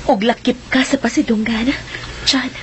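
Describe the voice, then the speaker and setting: A woman plays a proud, loving sweetheart in a radio drama. Her voice is fairly high and sincerely affectionate, with faint noise behind it. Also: fairly even intonation, moderate pace, lightly acted. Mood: happy, earnest. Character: proud, loving girlfriend of the hero. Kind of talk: melodramatic radio drama acting.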